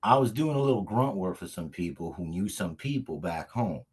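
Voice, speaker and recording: A man's voice: low-pitched, lively intonation, moderate pace, darkish tone, slightly rough. A man playing a brash, foul-mouthed, emotional small-time hitman in a comedic script table read. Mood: boastful, wistful.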